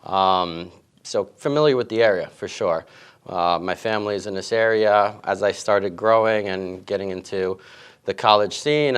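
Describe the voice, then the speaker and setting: Casting note: middle-aged male coach, deep clear voice, easygoing answers full of ums. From grew up in Italy and Queens, New York. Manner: relaxed, with many fillers and restarts. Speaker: head tennis coach. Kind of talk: casual interview answers.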